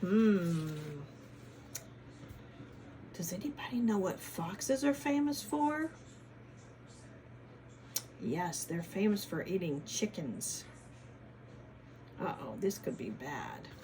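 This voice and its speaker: A woman reading a picture book aloud to children in a teacherly way, pausing to ask them questions and adding dramatic emphasis. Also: lowish voice, very animated, dark tone, a little hiss or hum. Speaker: children's story reader, former teacher. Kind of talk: animated picture-book read-aloud with chatty asides to children.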